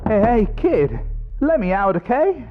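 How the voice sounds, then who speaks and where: A frightened character trapped in a horror tale acted by a man, urgent and pleading. The voice is pushed high over faint music. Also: sing-song, a little slow, narrow, tinny audio.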